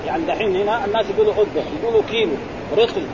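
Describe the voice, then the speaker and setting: A man's voice: high voice, huge pitch swings, racing. A man teaching grammar in a classroom, explaining points and quizzing his students with constant prompts. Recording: lo-fi with crowd and street noise.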